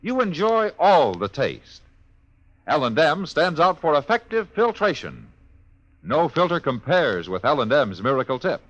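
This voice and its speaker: An American male announcer in a 1950s radio advertising style. His fairly high voice swings dramatically up and down, with emphatic slogan delivery. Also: sing-song, a little slow, a bit bright, dull audio. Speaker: cigarette commercial announcer.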